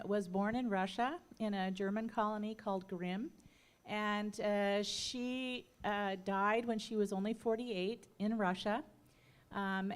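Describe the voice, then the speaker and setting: Middle-aged woman, plain mid voice, halting unscripted memorial remarks in a church. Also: mid-range voice, clean tone. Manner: unscripted, halting, with many um's and self-corrections. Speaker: family member speaking at a memorial. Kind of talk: memorial remembrance talk.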